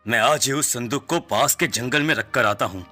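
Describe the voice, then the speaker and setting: A man playing an anxious, protective middle-aged father in an animated horror story, earnest and dramatic. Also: mid-range voice, moderate pace, soft background music. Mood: resolute.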